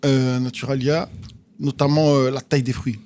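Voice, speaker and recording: A man's voice: medium pitch, little pitch movement, normal speed, a little mellow. A man from France, a stand-up comedian, chatting casually as a podcast guest. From France, born in Marseille, raised in Nantes and the Paris suburbs. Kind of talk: casual chat.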